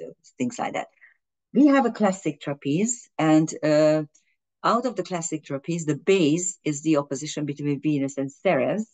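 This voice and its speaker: A woman's voice: low voice, darkish tone. Fumbling woman astrologer. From Hungary. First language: Hungarian and German. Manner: fumbling, with frequent restarts and fillers. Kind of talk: explaining an astrology chart in a video.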